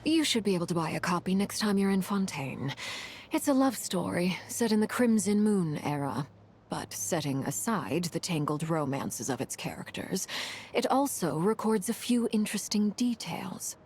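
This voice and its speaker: Low-voiced, composed woman Harbinger. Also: animated, moderate pace, darkish tone, husky. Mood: measured, explanatory. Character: composed, knowing female Harbinger recounting lore. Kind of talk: scripted game dialogue.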